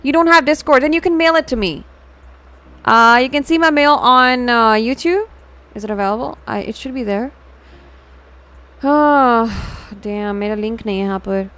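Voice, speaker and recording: High-voiced Indian woman chatting on a livestream. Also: a little fast, pauses often, fairly bright tone. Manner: informal, chatty, mixes Hindi into English. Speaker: VTuber livestream host. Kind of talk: casual livestream chat reacting to fan art and viewers.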